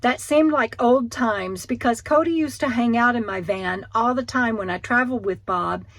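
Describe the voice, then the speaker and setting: A woman narrates her vlog in a chatty, friendly storytelling way. Her voice is a little high. The recording is dry, with no room sound. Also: moderate pace, fairly bright tone, no room sound. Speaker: vlogger narrating. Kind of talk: voice-over narration.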